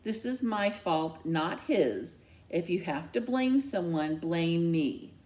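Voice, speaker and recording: Teen daughter for a storybook, read by a woman: defensive, stubborn, earnest, with very animated intonation. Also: fairly low pitch, takes it slowly. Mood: pleading. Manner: earnest, protesting.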